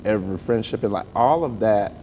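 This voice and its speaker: A man's voice: fairly quick, a little mellow. A middle-aged man with a Southern US accent, chatting earnestly and with some joking as a guest in a casual interview. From southern United States, rural. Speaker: interview guest, stage actor and comedian. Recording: long reverberant hall, faint rumbling noise.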